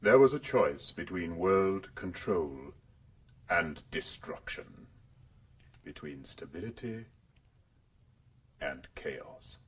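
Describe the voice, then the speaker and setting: A grave world ruler delivering propaganda in a radio drama. The voice is extremely deep and husky, oratorical and measured, heard at a distance from the mic. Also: male voice, animated, exaggerated voice. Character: grave, authoritative world controller lecturing on history.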